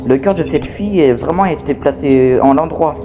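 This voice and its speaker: Man acting as scrappy toy outcast, horror game fan dub, fairly high male voice, mellow tone, chatty, hesitant, wary schemer, music behind. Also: normal speed, lightly acted. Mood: hushed urgency. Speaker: amateur voice actor dubbing a game character.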